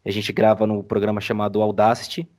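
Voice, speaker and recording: A man's voice: lowish voice, monotone, a little fast, darkish tone. A man from Brazil with a Brazilian accent, chatting casually as a podcast guest and explaining his editing work. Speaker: podcast guest, audiodrama editor. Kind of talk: casual explanatory interview chat.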